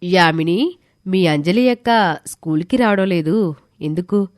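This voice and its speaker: A woman voicing a concerned, supportive schoolteacher in an animated moral story. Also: on the low side, measured pace, natural voice. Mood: puzzled concern.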